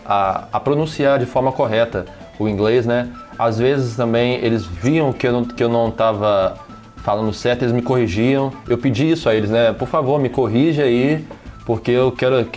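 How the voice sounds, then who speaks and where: A Brazilian-accented man hosts a relaxed podcast conversation. He talks in a loose, halting way, often restarting and tagging his sentences, over faint music. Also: medium pitch. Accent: Brazilian Portuguese. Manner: hesitant, frequent restarts and tag questions. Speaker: podcast host. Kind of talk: casual interview chat.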